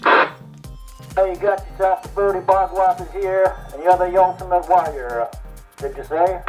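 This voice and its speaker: A man with a high voice comes through on a CB radio. He banters in joking operator slang. Music plays behind the transmission. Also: steady tone, measured pace.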